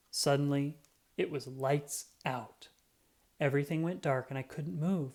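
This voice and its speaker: A storybook narrator reading a children's picture book aloud expressively and dramatically, as a self-important cat detective telling a tale. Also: mid-range voice. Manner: expressive, dramatic, acts out sound effects.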